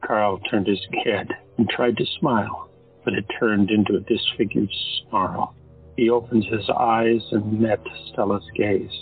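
A man narrating an audio drama in a steady storytelling manner. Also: on the low side, measured pace, husky. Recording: tinny phone-like sound, faint music.

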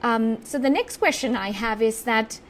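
Woman host from Sri Lanka, lightly high voice, earnest and admiring interviewer. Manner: earnest and admiring, measured questions. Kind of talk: interview questions.

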